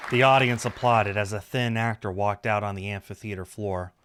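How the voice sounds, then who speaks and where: Lowish-voiced man narrating a visual novel. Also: steady tone, normal speed, a crowd in the background, background noise. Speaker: streamer reading a visual novel aloud. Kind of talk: story narration with casual asides.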